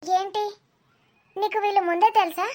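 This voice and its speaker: A proud middle-aged auntie, acted by a woman, with an extremely high, almost childlike pitch. She questions everything warily, keeping her wording polite but indignant, as in a family cartoon drama. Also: steady tone, normal speed, warm tone. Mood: suspicious.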